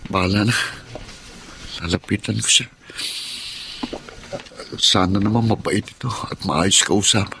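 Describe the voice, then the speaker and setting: A man's voice: fairly low pitch, a little slow, gravelly, long pauses, background noise. A man playing an earnest, polite young street vendor with a Filipino accent in a radio drama. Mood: hopeful, musing. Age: young adult. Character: earnest, hardworking young male egg vendor, eldest son. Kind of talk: Filipino radio drama acting with first-person narration.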